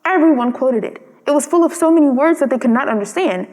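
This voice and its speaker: A woman narrates a storybook in a high voice. She reads from a script as a storyteller. The recording carries a long reverb. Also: lively intonation, quick pace, a little mellow.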